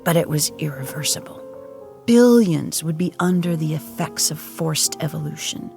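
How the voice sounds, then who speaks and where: Low, creaky woman narrating solemnly over music. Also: lively intonation, a little mellow. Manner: solemn, measured, documentary-like delivery. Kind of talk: dramatic scripted-style narration. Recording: clear music behind.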